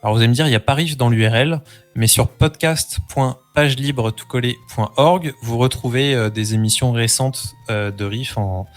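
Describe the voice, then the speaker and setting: A man's voice: medium pitch, moderate pace. A man talking casually as a guest on a podcast. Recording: music playing behind the voice. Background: music.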